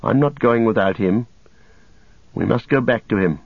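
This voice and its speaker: A man voicing a gentle but firm, anxious hobbit master, coaxing softly and earnestly in a storybook reading. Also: medium pitch, normal speed, gravelly, husky, dry recording, narrow, tinny audio. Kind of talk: storybook character dialogue.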